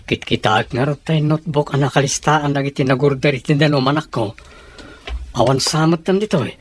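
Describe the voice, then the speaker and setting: A somewhat high-voiced Ilocano family man, played by a radio actor, ailing and resigned yet patient. He speaks in radio drama style over faint broadcast noise. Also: fairly quick, natural voice. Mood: weary, resigned. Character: ailing electrician father losing his sight, resigned and patient.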